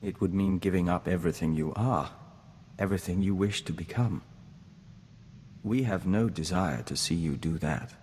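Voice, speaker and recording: A low-pitched, reverberant voice of a gentle hive-mind diplomat acted by a man. He speaks in a formal, measured way, with devotion, as game dialogue over noticeable background noise. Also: close to an everyday voice. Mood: tender, earnest. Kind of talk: scripted video game dialogue acting. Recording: long reverb, noisy game ambience.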